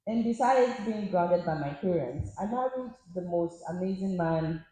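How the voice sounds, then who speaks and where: Animated woman in echoey hall. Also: on the low side, normal speed, noisy background. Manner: animated, laughing, storytelling. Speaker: panel guest. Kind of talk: panel discussion on stage. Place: large church auditorium.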